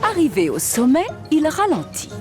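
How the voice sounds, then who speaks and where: A woman's voice: sits high, huge pitch swings, normal speed. A woman narrating a scripted children's cartoon story. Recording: music playing behind.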